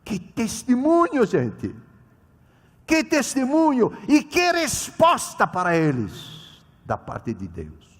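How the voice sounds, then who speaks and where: A man preaching a sermon in Portuguese as a non-native speaker, emphatic, swinging between loud exclamations and quiet asides, often restarting words. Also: very high-pitched, huge pitch swings. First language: not Portuguese. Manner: halting, repeats and corrects words mid-phrase. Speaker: preacher at a children's ministry conference. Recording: echoey hall reverb.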